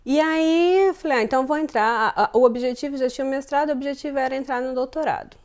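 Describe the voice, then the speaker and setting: Brief: Brazilian Portuguese woman, 30s, interview guest, thoughtful, fairly high voice. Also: quick pace. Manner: thoughtful, frequent fillers and self-repeats. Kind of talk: casual interview answers.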